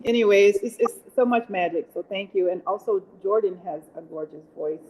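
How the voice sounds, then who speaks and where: A woman's voice: mid-range voice, a little fast, a little mellow, slight background noise, low-quality recording. A woman speaking effusively and enthusiastically as a guest in a conversational interview. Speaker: actress and singer, interview guest.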